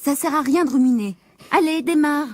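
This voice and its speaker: A woman's voice: high voice, normal speed, no room sound, natural voice. An actress in a French radio drama playing a man's teasing, patient female companion, wry and colloquial, with a firm edge. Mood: fed up.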